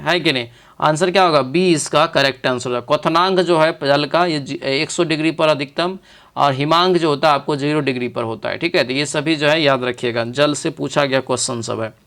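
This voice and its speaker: Clear, higher-pitched male exam-prep teacher. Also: a bit bright. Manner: informal, repeats questions and key phrases. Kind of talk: instructional quiz walkthrough, reading questions and explaining answers.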